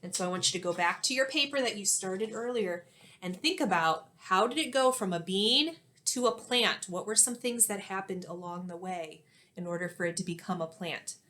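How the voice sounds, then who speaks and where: A woman in her thirties, an elementary teacher reading a storybook aloud and giving instructions in a clear, expressive way for young children. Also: medium pitch, normal speed, a little hiss or hum. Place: small indoor classroom-like room.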